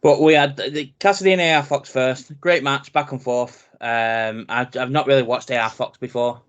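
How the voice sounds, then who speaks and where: A man in his thirties with a very deep voice, co-hosting a casual wrestling chat podcast. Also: moderate pace, clean tone, highs cut off. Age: 30s. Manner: relaxed, chatty, laughs easily. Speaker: podcast co-host.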